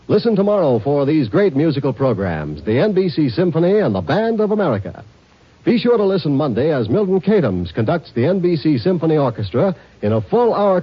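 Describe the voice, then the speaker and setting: A man's voice: fairly high pitch, slightly rough. A man reading a scripted promotional announcement in 1950s radio style. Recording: dull 1950s radio recording.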